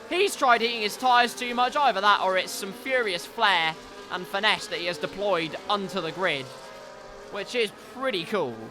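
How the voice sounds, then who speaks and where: Stuffy-nosed male race caller, high and forceful tone, dry asides, erupts on the crashes. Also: slight background noise. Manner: wry asides, gets loud and excited at crashes. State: congested, stuffy nose. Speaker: league race commentator. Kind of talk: sim racing commentary.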